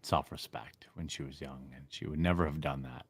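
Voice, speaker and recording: A man's voice: extremely low pitch, steady tone, brisk, slightly rough, no room sound, clean studio sound. A male guest teasing the others in casual livestream banter.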